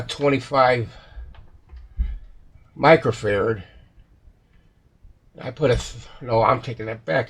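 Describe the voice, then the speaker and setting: A man with a gravelly, husky voice giving a casual, rambling repair walkthrough, hesitating and correcting himself as he goes. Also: mid-range voice. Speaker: repair technician.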